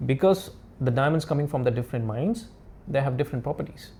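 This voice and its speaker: Gravelly-voiced man explaining as a guest expert. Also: medium pitch, animated, warm tone. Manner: halting, repetitive, non-native English phrasing. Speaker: diamond expert, interview guest. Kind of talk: casual expert explanation in interview.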